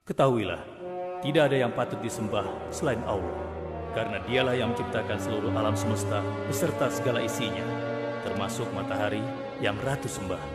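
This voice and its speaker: A man's voice: on the low side, a little fast, over loud music, close to an everyday voice. A man acting a stern, proud and devout prophet-king in a cartoon, speaking in a solemn, declamatory way. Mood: solemn warning. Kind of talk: formal dramatic cartoon acting.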